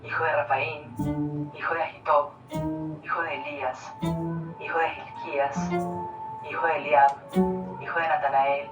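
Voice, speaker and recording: A woman solemnly reciting a long list in slow, measured phrases. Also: low-pitched, level tone, roomy sound. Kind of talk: solemn recitation over guitar. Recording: dull, distant, loud guitar behind.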